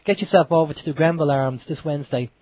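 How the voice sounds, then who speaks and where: A man's voice: a little high, fast talker, no room sound. An Irish man with a cold, sounding blocked up and nasal, hosting a casual internet radio chat. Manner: chatty, loose, with restarts. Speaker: radio show host. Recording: dull lo-fi home radio stream.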